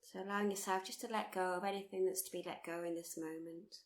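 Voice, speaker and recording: A woman teaching guided meditation, speaking in a soothing, gently encouraging, unhurried way with repeated phrases. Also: on the low side, fairly even intonation. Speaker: meditation teacher leading a body scan. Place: live workshop.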